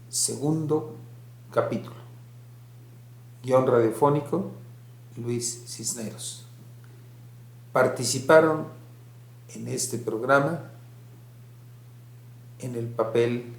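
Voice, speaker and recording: Locutor mexicano pausado, con eco. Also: male voice, medium pitch. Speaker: radio drama announcer. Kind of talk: scripted credits and interview questions. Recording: roomy, echoing sound.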